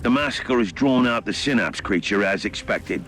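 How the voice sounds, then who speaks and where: A man voicing a composed military adviser in a video game, formal, measured and understated. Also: on the low side, expressive, a bit bright. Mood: grave, explanatory.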